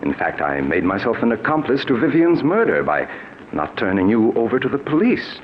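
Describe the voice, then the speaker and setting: An actor playing a smooth, calculating male blackmailer in a 1940s radio drama, suave and falsely solicitous with menace underneath. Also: medium pitch, wildly swooping pitch, brisk. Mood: wheedling pressure. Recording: muffled, noisy vintage radio recording.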